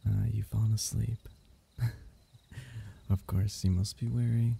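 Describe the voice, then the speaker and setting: A man voicing a gentle, loving royal diviner, telling a bedtime myth as ASMR in a tender, archaic, unhurried, husky way. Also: on the low side, flat delivery, heavily acted. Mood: soothing, loving. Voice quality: husky, gravelly. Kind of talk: ASMR bedtime story roleplay.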